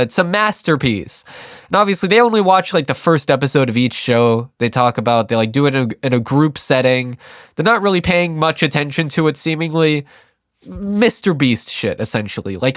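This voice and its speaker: Young man, hoarse, congested, blunt profane rant, lively swinging pitch, dry close sound. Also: no room sound. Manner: blunt, profane, sarcastic, quick asides. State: hoarse and congested from a sinus illness. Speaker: YouTube ranter. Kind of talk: casual rant to camera.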